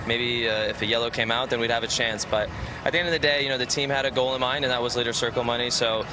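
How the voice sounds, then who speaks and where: Fast-talking racing driver amid loud noise. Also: male voice, medium pitch, steady tone. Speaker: racing driver being interviewed. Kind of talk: casual post-race interview. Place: outdoors at a racetrack. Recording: loud outdoor racetrack noise.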